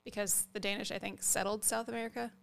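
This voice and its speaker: A woman's voice: mid-range voice, level tone, fast talker, creaky, fairly bright tone, clean tone. A woman co-hosting a podcast in casual, conversational chat. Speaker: podcast co-host.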